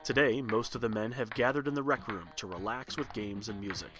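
A man's voice: lowish voice, a little fast, fairly bright tone. Man narrating over faint music. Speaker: podcast host and story narrator. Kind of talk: scripted narration.

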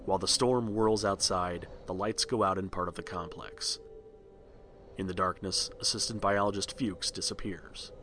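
A man's voice: low-pitched, steady tone, moderate pace, fairly bright tone, faint music behind. A man reading a scripted storybook narration for a read-along record.

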